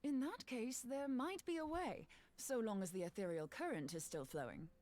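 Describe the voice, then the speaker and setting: A woman's voice: fairly high pitch, expressive, normal speed, a little mellow, lightly acted. A woman playing a bold, self-assured scholar in a fantasy video game, explaining things breezily. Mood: keen, explanatory.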